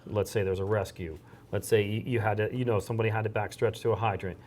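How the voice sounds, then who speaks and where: A man's voice: fairly low pitch, steady tone, fast talker, a little mellow, a little hiss or hum. A man speaking as an interview guest, a fire chief explaining things conversationally.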